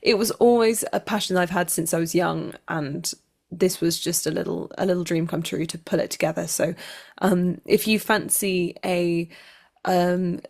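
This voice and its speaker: A woman with a fairly low voice talks as a guest in a casual interview. She is playful and self-deprecating, speaking as an actor and writer in easy conversation. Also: steady tone, moderate pace, gravelly.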